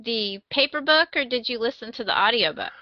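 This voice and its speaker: A woman's voice: a little high, lively intonation, fairly quick, no echo, highs cut off. A woman co-hosting a podcast, chatting casually and giving recommendations. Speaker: podcast co-host.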